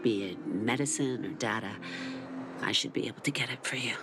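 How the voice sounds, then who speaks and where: An actress playing a gentle, proud older mother who speaks in a soothing, motherly way. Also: extremely low pitch, brisk, noisy background. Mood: consoling. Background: game music.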